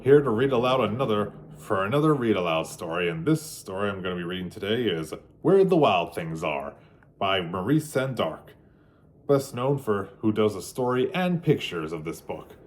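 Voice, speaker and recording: A man narrating a storybook for children in a deliberate, halting way with dramatic pauses. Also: on the low side, big pitch swings. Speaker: read-aloud storyteller for children.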